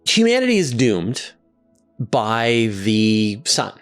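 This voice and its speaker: A man hosting a science question and answer show, explaining things conversationally with emphatic, deliberate pauses. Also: mid-range voice, very animated, smooth, faint music behind.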